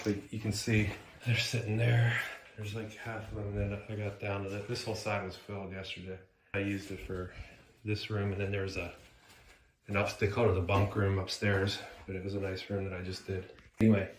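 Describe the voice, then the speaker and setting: A man doing a casual how-to vlog as a flooring installer, rambling and correcting himself, sniffly from a cold, filming on a handheld camera. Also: lowish voice. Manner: rambling, self-correcting, chatty asides. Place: indoor bathroom on a job site. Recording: echoey room with noise behind.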